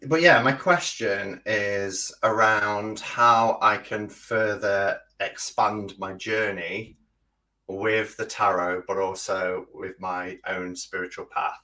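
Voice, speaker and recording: A man's voice: deep voice, sharp tone, rough recording. A man speaking as a guest on a live stream, chatty and informal. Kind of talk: casual live-stream chat.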